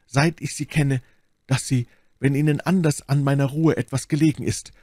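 Manservant reading a royal letter, performed by a man: beseeching, formal, lifted pitch, dramatic audiobook delivery. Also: darkish tone, dry recording, clean studio sound, lightly acted. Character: queen's imploring letter, read aloud by manservant.

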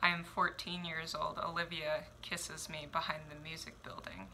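Woman in her 20s doing spoken word at home on a webcam, slow deliberate pauses, very bright forceful tone. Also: low voice, flat delivery, slight background noise, low-quality recording. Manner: measured, reflective, deliberate pauses. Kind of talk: spoken word poetry performance. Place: small home room indoors. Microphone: headphones and webcam.

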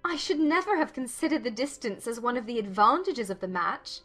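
An actress playing a spirited, self-assured young gentlewoman in a dramatized audiobook, speaking formally and firmly. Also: on the high side, lively intonation, a little fast, faint music behind. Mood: earnest, firm. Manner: formal, articulate, firm.

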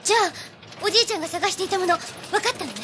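An actress playing a pert, feisty teenage girl in an audio drama, with girlish, animated delivery. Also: very high voice, racing, noisy background. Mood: pert, doubtful.

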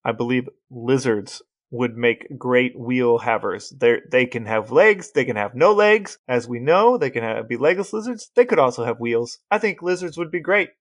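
A man co-hosting a casual podcast, telling stories with enthusiasm and asking deadpan questions. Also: mid-range voice, normal speed, few pauses, no room sound. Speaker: podcast co-host.

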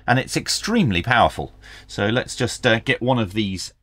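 A man's voice: lowish voice, expressive, normal speed, a bit bright, no room sound. A middle-aged man hosting a hands-on product review and tutorial, explaining things step by step with dry asides. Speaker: radio review channel host. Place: small indoor home workshop.

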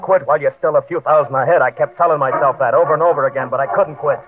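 A man's voice: a little high, steady tone, rapid-fire, close to an everyday voice. An actor playing a desperate, nervous small-time gambler telling of his downfall in colloquial slang, in an old-time radio crime drama. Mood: brooding. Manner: colloquial, tough-guy slang, stammering under pressure. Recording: vintage radio, narrow band, faint music.

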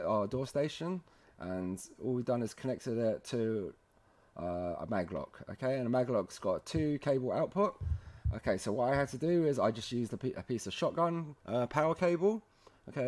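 A man's voice: mid-range voice, darkish tone. A man presenting an installation tutorial in an informal, casual way, talking directly to the viewers. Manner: casual, addresses viewers as guys. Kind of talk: informal instructional demonstration.

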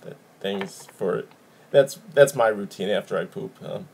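A man's voice: fairly low pitch, a little slow, creaky, darkish tone, slight background noise. A man in his thirties from Wisconsin, hosting a casual chat from his living room over a video call, rambling and chuckling. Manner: laid-back, chuckling, rambling. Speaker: podcast host.

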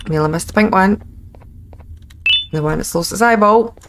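Scottish woman chatting over a game, lots of vocal fry, wry and informal, bit of background noise. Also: mid-range voice, moderate pace. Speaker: gaming video host. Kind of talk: casual let's play commentary.

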